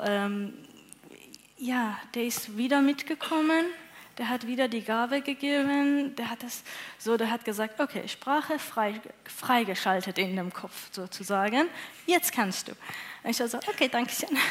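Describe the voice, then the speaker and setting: A young woman from Iran with a Persian accent, a native Persian speaker, giving an earnest, halting testimony on a church stage with many fillers. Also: a little high. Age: young adult. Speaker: church moderator and interpreter.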